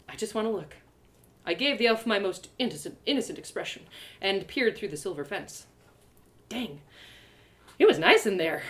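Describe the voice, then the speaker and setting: A woman storyteller narrates a fantasy tale in the first person. Her delivery is theatrical and expressive, with a lively pitch that rises and falls to shape each phrase. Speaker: author reading her story aloud.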